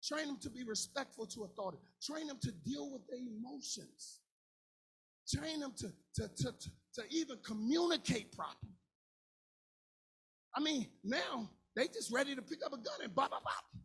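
A man in his forties preaching a sermon, impassioned and colloquial, swinging between shouted lines and a half-sung cadence. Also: very high voice, animated, moderate pace, creaky, breathy edge. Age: 40s. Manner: colloquial, informal grammar.

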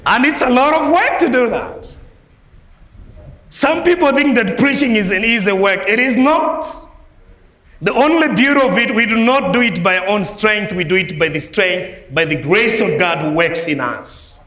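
Emphatic Malawian preacher in echoey church. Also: male voice, animated, moderate pace. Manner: emphatic, swings between soft low phrases and raised ringing lines. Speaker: guest preacher. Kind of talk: sermon.

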